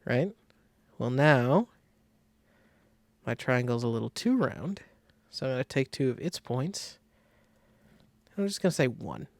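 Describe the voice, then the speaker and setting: A man giving a casual step-by-step software tutorial, thinking aloud informally as he demonstrates. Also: medium pitch, sing-song, unhurried, gravelly, long pauses, dry recording. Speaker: design tutorial instructor.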